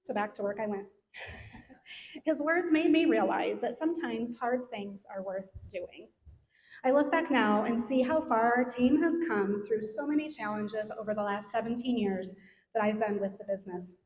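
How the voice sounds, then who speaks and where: Middle-aged woman, scripted event speech, slightly high pitch, echoey hall with faint noise. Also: lively intonation, normal speed, darkish tone. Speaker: event sponsor and speaker introducer. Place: large event hall, on stage.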